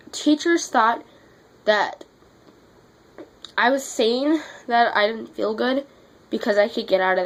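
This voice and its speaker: A teenage girl talks straight to her viewers in a casual vlog, her voice worn down and congested from being sick. She sounds fed up and blunt, cursing freely as she rants. Her voice sits a little high for a woman. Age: teens. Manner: blunt, exasperated, swears freely. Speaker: vlogger.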